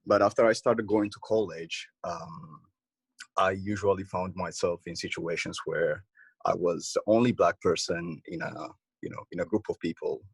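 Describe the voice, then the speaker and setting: A young man from Ethiopia in a casual group discussion, talking haltingly with many fillers and restarts. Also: low-pitched, fairly even intonation, slightly rough, no room sound. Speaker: participant.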